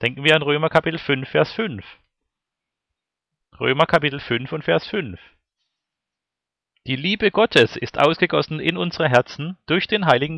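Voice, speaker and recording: A male preacher with a mid-pitched voice delivers a sermon in a formal, measured manner. His intonation swings widely as he moves between reading and explaining. The sound is dry, with very little room around the voice. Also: fairly bright tone, no echo. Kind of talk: sermon with scripture and verse readings.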